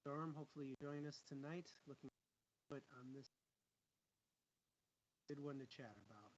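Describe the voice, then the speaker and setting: A man's voice: mid-range voice, moderate pace, lo-fi sound, slight background noise. A man co-hosting a book discussion livestream, chatting casually in a jokey way. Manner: jokey, fond of puns. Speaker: co-host of a book discussion show. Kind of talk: casual livestream chat and plugs.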